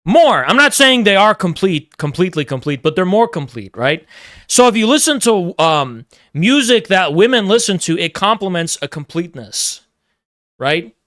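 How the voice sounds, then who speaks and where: A man's voice: sits high, very animated, moderate pace, fairly bright tone, no echo, clean studio sound. A man live-streaming, chatting casually and teasing his chat while rambling through strong opinions.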